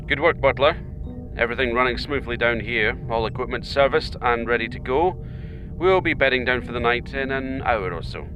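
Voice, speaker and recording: An actor playing a calm male spaceship captain with a dry sense of humor, in an audio drama. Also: medium pitch, moderate pace, lo-fi sound, music in the background, natural voice. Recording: noisy background ambience.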